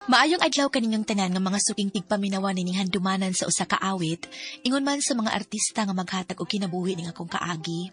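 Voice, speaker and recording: A woman delivers a scripted greeting as a letter sender. Her pitch is average. Faint music plays behind her. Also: lively intonation, fast talker.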